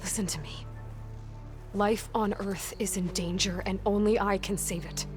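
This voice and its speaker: A woman's voice: mid-range voice, steady tone, measured pace, over music, natural voice. A woman playing a determined, guarded young hero in a video game, speaking in an earnest, terse, matter-of-fact way. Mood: grave, urgent. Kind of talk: video game cutscene acting.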